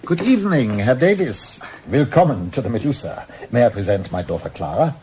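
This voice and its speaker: An actor playing a courteous, probing German yacht owner of about fifty with a German accent in a radio play. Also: male voice, medium pitch, very animated, brisk, dark tone, close to an everyday voice. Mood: suave, probing. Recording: faint background noise.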